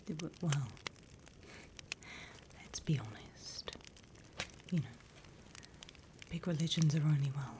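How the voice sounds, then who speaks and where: A British woman gives a whispered ASMR roleplay lesson in a low, breathy voice. She takes her time, speaking slowly and gently as a conspiratorial instructor. There is clear background noise on the recording. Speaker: ASMR roleplay performer playing a demons' class instructor.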